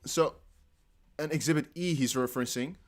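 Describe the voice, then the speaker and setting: Male streamer with a Dutch accent, slightly high voice, excitable live commentary, laughs often. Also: moderate pace. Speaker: crypto news streamer. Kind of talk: live commentary on a court hearing.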